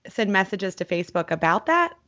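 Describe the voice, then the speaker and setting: A woman's voice: medium pitch, big pitch swings, a little fast, a bit bright, muffled recording. A woman hosting a podcast in a casual, chatty, informal way with playfully crude humour. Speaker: podcast host.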